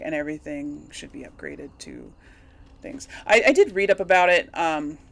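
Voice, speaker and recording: A woman giving casual, rambling video game commentary to her audience, chatting to the characters as she plays. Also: sits low. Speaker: host of a video game let's play.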